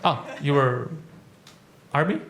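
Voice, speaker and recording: A man's voice: fairly high pitch, lively intonation, takes it slowly, a bit bright, roomy sound. A man doing stand-up crowd work in a comedy club, teasing audience members and laughing through his jokes.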